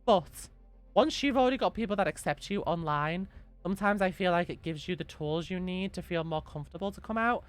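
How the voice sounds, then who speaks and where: Gentle, slightly hoarse woman streamer. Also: fairly low pitch, expressive, fairly quick, dry recording, faint music behind. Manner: gentle and reassuring, repeats key advice. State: slightly hoarse from being sick. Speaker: streamer answering chat questions. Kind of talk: casual advice chat on a live stream.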